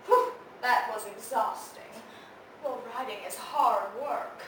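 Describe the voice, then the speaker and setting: A teenage girl playing a sharp-witted, hard-drinking Texan woman newspaper columnist in a theatrical competition monologue, wry and swinging from hushed to loud. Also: on the high side, takes it slowly. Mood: wry, reflective. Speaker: competitive speech performer. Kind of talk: dramatic monologue recited for a speech competition. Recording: echoey room, distant mic, faint noise.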